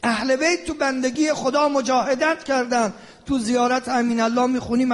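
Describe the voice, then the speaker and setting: A man with an Iranian Persian accent preaching a fiery, emphatic sermon, repeating phrases insistently and asking rhetorical questions. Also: very high voice. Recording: long reverberant hall sound.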